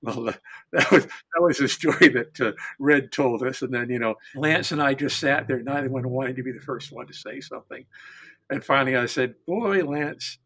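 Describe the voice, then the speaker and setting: Man, husky mid-pitched voice, emphatic and deliberate oral storyteller in a panel setting. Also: fairly bright tone.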